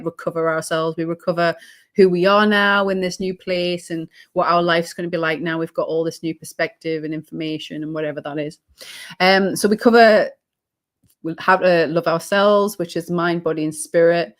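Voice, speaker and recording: A British woman, slightly hoarse, chatting as an interview guest in a reflective, rambling way with many ums and restarts. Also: lowish voice. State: slightly hoarse, losing her voice. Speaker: guest, holistic healing coach. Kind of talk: casual interview chat.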